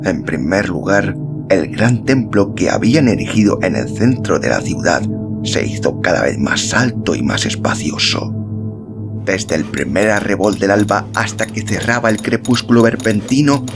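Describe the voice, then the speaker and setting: A man's voice: lowish voice, expressive, normal speed. A man reading a literary story as a scripted narration, in the role of podcast narrator. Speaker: audiobook-style podcast narrator. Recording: music behind the voice. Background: instrumental music.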